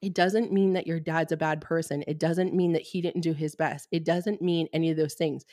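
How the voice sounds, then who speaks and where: Low-voiced woman explaining healing work. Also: normal speed, dry recording, clean studio sound. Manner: steady, explanatory, blunt at times, with frequent fillers. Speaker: Reiki fertility healer, guest. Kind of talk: podcast interview, explaining.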